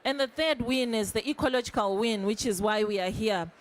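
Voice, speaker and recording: A woman's voice: on the high side, brisk. A young woman from Zimbabwe speaking at a conference panel, earnest and growing more emphatic as she goes.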